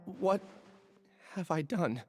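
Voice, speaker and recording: A man's voice: sits high, lively intonation, slow, darkish tone. A man playing a troubled, anxious young researcher with amnesia in a video game, hesitant and earnest. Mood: distressed. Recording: game music playing underneath.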